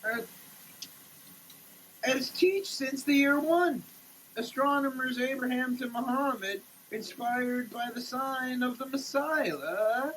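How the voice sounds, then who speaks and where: Someone doing a slow dramatic reading, very declamatory, some noise behind. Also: sits high, fairly bright tone, rough recording. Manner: theatrical, declamatory, with muttered asides. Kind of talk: dramatic reading of printed text. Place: shower.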